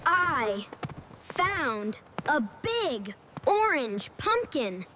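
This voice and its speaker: A woman voicing an eager, cheerful young girl in a beginner English storybook cartoon, speaking slowly and deliberately in simple sentences. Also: sits high, sing-song, pushed and bright, a little hiss or hum, exaggerated voice. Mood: bright, eager. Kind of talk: slow scripted English lesson story dialogue.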